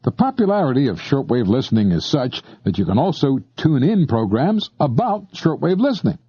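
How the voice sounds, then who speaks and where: A man's voice: mid-range voice, slightly rough, dry recording. A man reading a scripted instructional narration, explaining things and addressing the listener directly. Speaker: narrator of an instructional shortwave radio tape. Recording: dull lo-fi cassette tape, dry.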